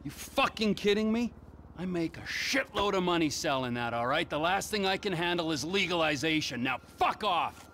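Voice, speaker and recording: A man acting in a video game cutscene as a skeptical streetwise character, blunt, irritated and quick to swear. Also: high voice, expressive, sharp tone, slight background noise. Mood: irritated, dismissive.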